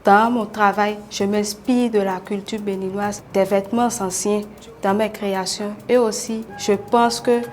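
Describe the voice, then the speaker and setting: A woman in her twenties, a fashion contestant, speaking in an earnest, deliberate way. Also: mid-range voice, fairly even intonation, faint music behind. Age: 20s. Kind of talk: casual interview.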